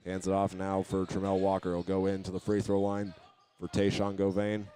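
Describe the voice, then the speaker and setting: Low, even-toned American man calling basketball. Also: moderate pace. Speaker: play-by-play announcer. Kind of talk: live basketball radio commentary. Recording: clear gym ambience and ball bounces. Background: live basketball game in a high school gym.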